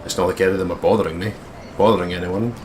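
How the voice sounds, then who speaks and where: Low-voiced man reading game narration. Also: steady tone, very fast, background noise. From United Kingdom. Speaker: let's play host. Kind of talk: reading game narration aloud with casual asides. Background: game music.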